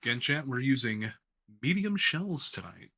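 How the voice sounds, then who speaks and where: A man's voice: mid-range voice, sing-song, normal speed, pushed and bright. A man who works in radio, cooking along in his home kitchen and chatting in a rambling, self-deprecating way. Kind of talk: casual chat while cooking. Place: home kitchen with gas stove.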